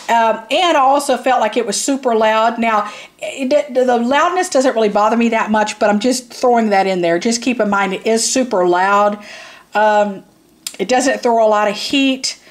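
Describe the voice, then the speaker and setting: A tired woman giving a blunt, casual product review, rambling and repeating herself for emphasis. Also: on the high side. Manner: blunt, informal.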